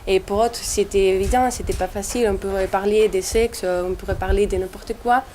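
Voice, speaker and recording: A woman's voice: medium pitch, steady tone, fairly quick, warm tone, a little hiss or hum. A young woman from Spain, a student answering interview questions in a hesitant way with many restarts. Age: young adult.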